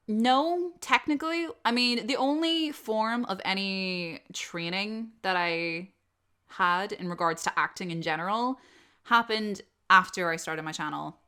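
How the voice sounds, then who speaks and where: A woman's voice: medium pitch, unhurried, sharp tone, clear, resonant tone. A woman chatting casually and expressively in a self-deprecating way, like an online creator answering questions from followers. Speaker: audio roleplay creator and host. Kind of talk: casual subscriber Q&A, chatty talk.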